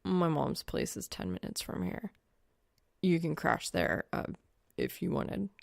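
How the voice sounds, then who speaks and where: A woman voicing an awkward, laconic young male gamer in an audiobook, with a deadpan, hesitant, low-key delivery. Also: measured pace, creaky, dry recording, studio quality, full character voice. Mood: hesitant offering. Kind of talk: audiobook character dialogue.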